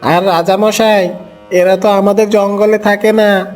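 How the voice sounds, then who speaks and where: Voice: medium pitch, level tone, a little slow, darkish tone, soft background music, natural voice. A performer voicing a hungry, scheming fox in a cartoon story, with an urging, wheedling manner. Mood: indignant.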